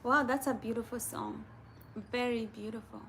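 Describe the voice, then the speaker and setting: A woman's voice: fairly high pitch, steady tone, normal speed, a bit bright, slight background noise. A woman hosting a casual livestream, chatting and reading viewer comments aloud. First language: Kamba.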